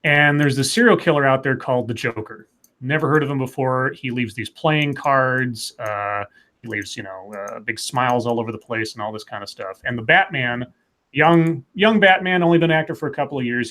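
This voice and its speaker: A man co-hosting a live comics talk stream, excitedly pitching story ideas in casual, profane chat. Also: a little fast. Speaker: podcast co-host.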